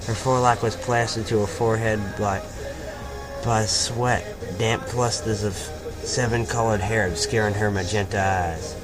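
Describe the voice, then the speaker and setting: A man's voice: on the low side, poor audio. A man reading first-person fan fiction aloud as the story's narrator in a scripted, dramatic style.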